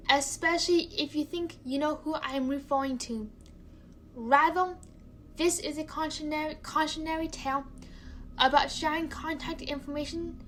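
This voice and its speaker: A young woman from China narrating a personal story, careful and halting, often repeating words. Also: sits high, a bit bright, a little hiss or hum, rough recording.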